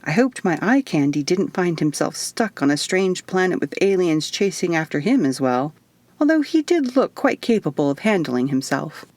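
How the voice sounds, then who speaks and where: A woman's voice: lowish voice, sing-song, normal speed, a little mellow, no echo. A woman reading scripted first-person audiobook narration as a storyteller. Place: dry, quiet recording space.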